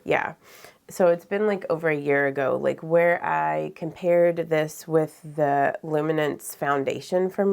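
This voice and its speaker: A young woman with a stuffy, nasal head cold talks through her makeup in a rambling way, full of fillers. Her voice sits low and has a gravelly fry to it. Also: a little mellow. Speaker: beauty vlogger. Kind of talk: casual get-ready-with-me chat.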